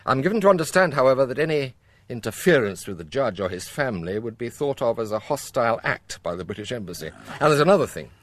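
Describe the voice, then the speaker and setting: Sardonic, formal police chief, played by a man, animated. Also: medium pitch, dry recording, natural voice. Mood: formal, dry. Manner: formal, measured wording, sardonic. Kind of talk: radio drama acting.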